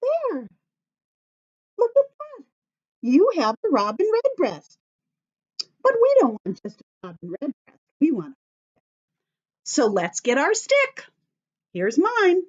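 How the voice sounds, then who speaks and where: Children's story-time teacher, female. Lively, high-pitched, slow step-by-step delivery with encouraging questions. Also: lo-fi sound. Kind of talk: instructional talk to young children.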